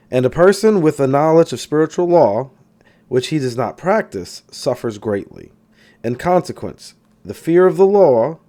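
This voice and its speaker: A male narrator reads a spiritual self-help book with a soft, mellow tone. His pitch rises and falls a good deal as he stresses key words, and he keeps a measured, teacherly delivery. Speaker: audiobook reader.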